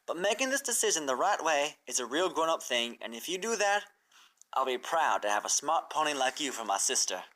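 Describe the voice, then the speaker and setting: A performer as a bright, folksy big-sister farm pony. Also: mid-range voice, big pitch swings, fairly quick. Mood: warmly frank. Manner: folksy, frank, reassuring. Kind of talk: cartoon fan fiction character reading.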